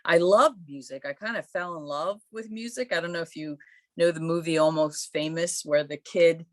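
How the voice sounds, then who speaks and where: A woman's voice: lowish voice, moderate pace, no echo, clean studio sound. A woman born in Croatia, a musician guest in a podcast interview, telling stories in a chatty, friendly way. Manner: warm, chatty storytelling with laughs. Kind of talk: casual interview.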